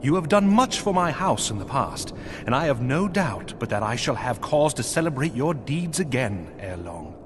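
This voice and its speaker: Casting: male actor for gracious lord of a knightly outpost, fantasy game; formal old-world diction, highly varied intonation. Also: very animated, moderate pace, a little hiss or hum, natural voice. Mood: gracious, warm. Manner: formal, archaic, courtly phrasing. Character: earnest, gracious nobleman commanding a snowbound knightly outpost. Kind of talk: scripted fantasy game dialogue.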